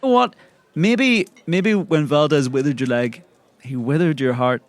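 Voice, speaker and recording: A man's voice: a little high, a little slow, no room sound. A man improvising and chatting as a player in a tabletop role-playing game.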